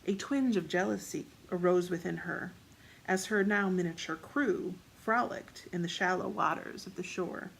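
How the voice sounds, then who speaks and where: Creaky-voiced woman narrating a story. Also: lowish voice, normal speed, a little hiss or hum, low-quality recording. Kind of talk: scripted fiction narration.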